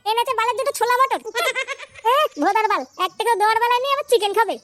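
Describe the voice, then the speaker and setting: A performer voicing a brash, crude, excitable cartoon boy, in a shrill, pitched-up voice. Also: rapid-fire, heavy background noise. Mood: brash, excited. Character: foul-mouthed cartoon boy, loud, cheeky, excitable drinking pal. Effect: pitch-shifted up. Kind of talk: comic cartoon dubbing.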